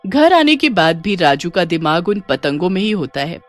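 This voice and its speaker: A woman's voice: lowish voice, big pitch swings, moderate pace, dry recording. A woman narrating a scripted children's ghost story as a storyteller. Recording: music behind the voice.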